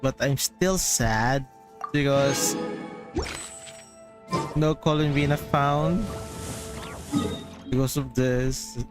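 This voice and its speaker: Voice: medium pitch, drawn-out words, pauses often, over music. A game streamer with a Filipino accent and Tagalog as first language, commenting casually on a livestream. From Philippines.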